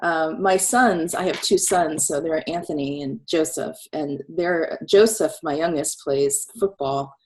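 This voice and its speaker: A woman in her forties with a cold, hosting a casual video call chat, chatty and quick to laugh. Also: low-pitched, poor audio. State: snuffly from a cold. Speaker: host.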